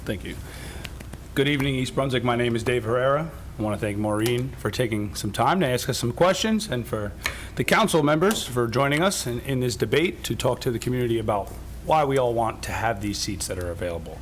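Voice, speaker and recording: A young man from Queens speaks in a loose, conversational way, dropping fillers and picking up speed in bursts. His pitch is moderate, and a faint hiss sits under the voice. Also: animated. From Queens, New York. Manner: casual, with fillers and quick bursts. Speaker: council candidate. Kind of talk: semi-prepared opening statement.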